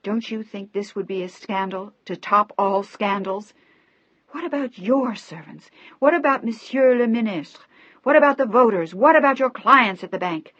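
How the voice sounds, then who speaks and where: A woman voicing a furious young daughter who shouts and sobs her accusations, in a dry, slightly dull recording. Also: mid-range voice, little pitch movement, moderate pace, hardly any pauses, no echo, natural voice.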